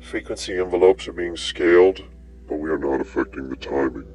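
A man demonstrating in a tutorial, his voice resynthesized through a live pitch shifter into a synthetic, lowered sound. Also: brisk, darkish tone, slight background noise. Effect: live pitch shifter with oscillator-bank resynthesis, shifted very low.

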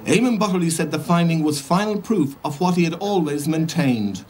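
A man plays a television reporter in a drama. His fairly high voice delivers a scripted bulletin in a formal, measured way. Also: lively intonation, darkish tone, lightly acted. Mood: neutral, formal. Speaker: news reporter.

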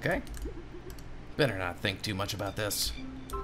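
A man's voice: on the low side, expressive, normal speed, music in the background. A man in his thirties giving casual gameplay commentary as a let's play host, with muttered asides and exclamations. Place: home room, indoors.

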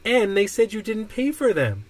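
A man's voice: high-pitched, huge pitch swings, normal speed, voice pushed up, heavily acted. A man voicing an earnest, plain-spoken young boy in a children's storybook. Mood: troubled.

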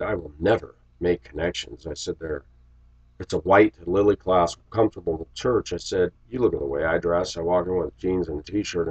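A middle-aged American man with a low, rough-edged voice, getting over a cold. He rambles reflectively to his viewers in a casual, confessional way. The audio is dull and lo-fi, from a webcam in a small room. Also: a little mellow. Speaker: vlogger. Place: small indoor room with shelves. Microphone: webcam microphone at desk distance.

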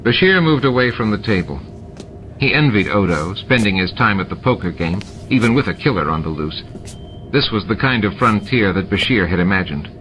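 A man reading a science fiction novel aloud in a steady, measured way. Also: on the low side, slight background noise. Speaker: amateur audiobook reader. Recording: dull lo-fi recording with music.

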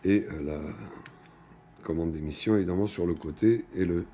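A middle-aged man giving an unscripted hands-on product demonstration, speaking in halting, stop-and-go phrases with trailing pauses. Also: sits low, dark tone, a little hiss or hum. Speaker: product presenter. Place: indoors at a wooden table in a small shop or office.

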